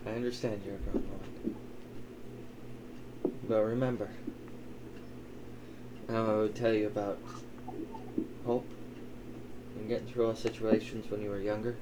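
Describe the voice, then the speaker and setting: A man voicing a gruff, doting old elven father who swears and teases in an improvised tabletop roleplay. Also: on the low side, little pitch movement, measured pace, pauses often, close to an everyday voice. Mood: grave storytelling. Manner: wry, teasing, swearing. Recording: clearly noisy, ambient sound behind.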